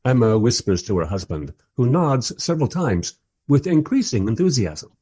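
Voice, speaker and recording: An actor playing a bemused, wry filmmaker who narrates and interviews in a scripted radio drama. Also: male voice, medium pitch, sing-song, normal speed, mellow, breathy edge. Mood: wry, narrating.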